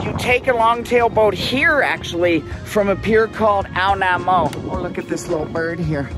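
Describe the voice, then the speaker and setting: Man in his forties, chatty travel vlogger, slightly high voice, outdoors on a beach, clear music underneath. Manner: upbeat, chatty, repeats words. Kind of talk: walking tour vlog. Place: outdoors on a tropical beach and boardwalk. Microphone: selfie camera at arm's length.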